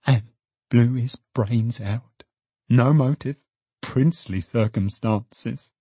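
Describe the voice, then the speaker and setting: A man voicing an agitated, secretive gentleman secretary in an audiobook, speaking gravely and deferentially. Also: mid-range voice, slow, no room sound. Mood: hushed shock. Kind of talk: dramatized audiobook character voice.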